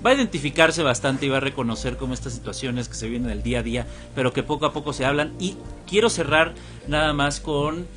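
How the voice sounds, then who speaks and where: A man's voice: mid-range voice, fast, a bit bright, soft background music. A man hosting a podcast, talking reflectively and casually with some restarts. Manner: reflective, thinks aloud with restarts. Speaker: podcast host. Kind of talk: casual conversational interview.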